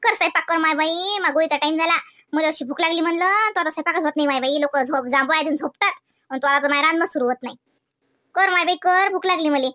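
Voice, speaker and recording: A woman's voice: sits high, quick pace, sharp tone. A woman voicing a grumbling, scolding old mother-in-law in a comedy cartoon, with a rural Varhadi Marathi accent. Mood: impatient nagging. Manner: complaining, scolding, colloquial.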